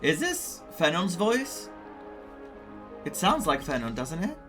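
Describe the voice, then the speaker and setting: A gamer from Greece with a mid-pitched voice and slow delivery, chatting casually and reacting to a game. Game music plays loudly underneath. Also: sing-song. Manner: chatty, reactive, hesitant phrasing. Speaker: gaming streamer. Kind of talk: casual gameplay commentary.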